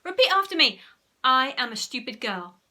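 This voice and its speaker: A woman's voice: high voice, big pitch swings, takes it slowly, sharp tone, a little hiss or hum, pitched above the performer's own voice, heavily acted. A woman voicing a mischievous, boastful young boy who likes giving orders in a children's storybook. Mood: commanding. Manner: boastful, commanding. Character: mischievous, bossy young boy, gleeful schemer.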